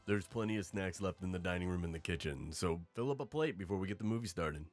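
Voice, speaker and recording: A man's voice: low voice, quick pace, soft background music, close to an everyday voice. A man acting in an audio roleplay as a friendly, slightly hesitant new boyfriend among a group of friends.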